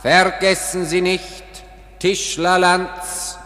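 Casting: menacing radio-drama summoner, very slow, high sharp voice drenched in cavernous reverb. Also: male voice, little pitch movement, full character voice. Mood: ominous, commanding. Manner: slow, declamatory. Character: ominous, commanding announcer of a deadline. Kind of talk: German radio play acting. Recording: long echoing reverb.

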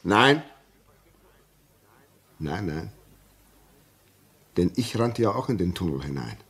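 Haunted railway signalman, very low husky voice, slow halting delivery, grave, radio drama. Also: very animated, fairly bright tone, heavily acted. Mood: uneasy recounting. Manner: slow, halting, repeats words for emphasis. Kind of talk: German radio play acting, dramatic storytelling.

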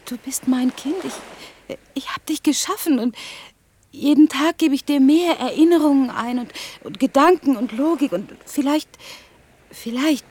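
An actress playing a tender, obsessive scientist mother in a radio play, pleading haltingly in a breathy voice. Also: high-pitched, a little mellow. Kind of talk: German radio play acting.

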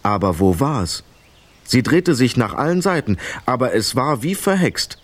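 A man narrating a children's radio play in an expressive, dramatic storytelling style. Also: medium pitch, moderate pace.

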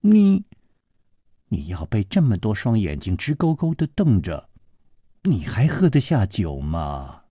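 A man voicing a charming young noblewoman in his own low, gracious, soft voice. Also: wildly swooping pitch, measured pace, slightly rough, dry recording, studio quality, exaggerated voice.

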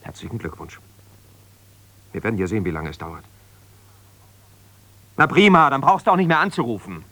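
An actor playing an embittered, self-righteous husband, a lawyer, reproachful and exasperated on one side of a phone call in a naturalistic radio play. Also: male voice, on the low side, big pitch swings, normal speed, long pauses, fairly bright tone, slight background noise. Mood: offended, sarcastic. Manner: defensive, reproachful, exasperated, insistent.

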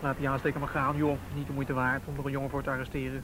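A man's voice: medium pitch, completely flat delivery, very fast, background noise, close to an everyday voice. An actor playing a teasing, unflappable off-duty policeman with dry, bantering delivery in a naturalistic radio drama. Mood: wry, teasing. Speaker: police officer of youth and vice squad.

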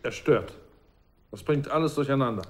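A man's voice: mid-range voice, moderate pace, a little mellow, natural voice. A man acting a curt, anxious official in a German radio drama. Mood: irritated.

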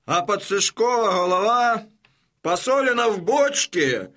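An old grey-haired Cossack in an audio drama. The voice is very high, piercing and bright, with wide theatrical swings. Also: male voice, lively intonation, unhurried, heavily acted. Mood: grim report.

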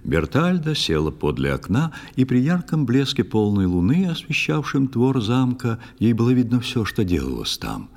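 A man narrating an audio play in verse, expressive and theatrical. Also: medium pitch, moderate pace, vocal fry, hardly any pauses. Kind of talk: scripted dramatic verse narration.

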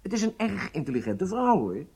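A man's voice: sits high, huge pitch swings, fairly quick, gravelly, darkish tone, exaggerated voice. An actor playing a charming, self-assured agent in his late thirties, speaking with casual nonchalance in a radio drama. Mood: defensive. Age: 30s.

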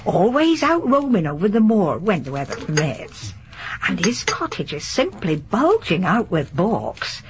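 A chatty, folksy village landlady, gossiping in a radio drama. Her voice sits a little low for a woman and swings freely up and down. There is a faint hiss on the recording. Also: wildly swooping pitch, measured pace. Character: gossipy, garrulous older village landlady and charwoman. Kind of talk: broadcast radio drama acting.

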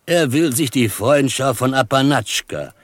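An actor playing a proud, solemn chief held prisoner in a western radio drama, speaking formally and with measured gravity. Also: male voice, mid-range voice, lively intonation, no echo, clean studio sound. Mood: solemn, earnest. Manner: formal, measured, speaks of himself in third person.

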